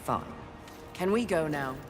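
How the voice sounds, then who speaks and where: Fierce warrior rider in a game, curt n imperious, echoing hall, music behind. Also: female voice, sits low, lively intonation, unhurried. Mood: curt suspicion. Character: fierce, proud, impatient female warrior rider. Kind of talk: dramatic video game acting. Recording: reverberant, loud music behind.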